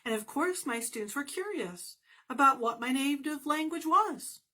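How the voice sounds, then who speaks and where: A woman's voice: high-pitched, expressive, moderate pace, sharp tone. A woman and former math teacher giving an earnest, encouraging motivational talk to her viewers, with playful asides.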